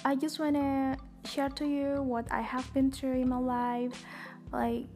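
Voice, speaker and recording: A woman's voice: high voice, level tone, measured pace, dark tone, soft background music. A young woman with an Indonesian accent hosting a podcast, talking casually and chattily with lots of fillers. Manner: talkative, chatty with many fillers. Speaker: podcast host. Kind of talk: casual personal monologue.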